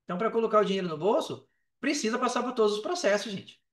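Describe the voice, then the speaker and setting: A Brazilian man telling his story casually as a podcast guest, in an animated, slangy way. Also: high voice, fast. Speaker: podcast guest, affiliate marketer. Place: indoors on an online call. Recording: roomy echo on a call.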